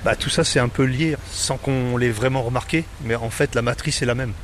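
A middle-aged man from Paris with a Parisian accent, answering interview questions in a relaxed, hesitant way full of fillers. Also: mid-range voice, brisk, a little hiss or hum. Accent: Parisian French. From Paris, France. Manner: hesitant, many fillers and restarts.

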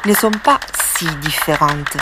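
A woman from southern Italy, narrating a documentary in a calm, reflective tone. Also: sits low, measured pace, very noisy. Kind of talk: scripted narration.

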